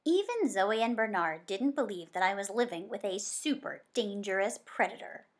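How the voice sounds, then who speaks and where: A woman's voice: medium pitch, lively intonation, normal speed. A woman reading a children's book aloud expressively as a storyteller.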